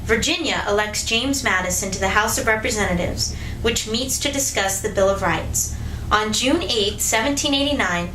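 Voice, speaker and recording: A woman's voice: mid-range voice, moderate pace, pushed and bright, slight background noise. A woman reading scripted educational narration as the narrator of a history show. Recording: roomy echo, music behind. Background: music.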